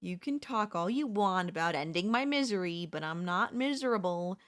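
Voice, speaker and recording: A woman's voice: lowish voice, animated, normal speed, natural voice. A woman voicing a sly, chatty female zombie in a comedic audio roleplay, coaxing and reasoning in a casual, conversational way. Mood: nervous persuasion.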